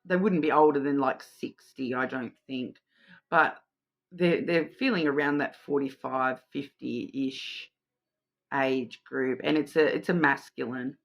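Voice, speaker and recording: A woman's voice: very deep voice, steady tone, a little slow, vocal fry. Rambling Australian woman reading cards. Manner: rambling, many ums and restarts. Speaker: tarot card reader. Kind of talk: intuitive card reading to camera.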